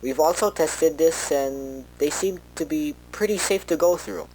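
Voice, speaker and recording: A man's voice: a little high, measured pace, darkish tone, slight background noise, rough recording. A man playing a researcher recording an informal log tape, talking in a hesitant, conversational way and often interrupting himself. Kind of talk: in-character monologue for a fan horror audio log.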